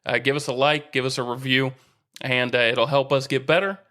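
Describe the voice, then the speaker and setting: A man's voice: medium pitch, little pitch movement, brisk, sharp tone. A man co-hosting a sports podcast, chatting casually in an informal, opinionated way.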